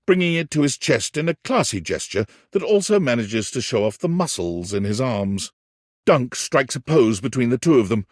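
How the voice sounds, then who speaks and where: A man's voice: medium pitch, dry recording, clean studio sound. An actor playing a wry, dramatic storyteller, a man narrating a cheeky dating game in an arch, florid, theatrical way. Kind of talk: scripted narration.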